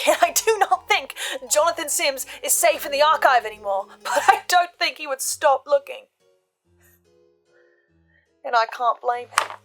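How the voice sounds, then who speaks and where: A woman with a fairly high voice presents scripted theory commentary. She speaks intensely and theatrically, leaning on pauses for emphasis. Music plays underneath her. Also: a bit bright. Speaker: host of a reaction and theory channel. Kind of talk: dramatic scripted commentary on a fiction podcast. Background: background music.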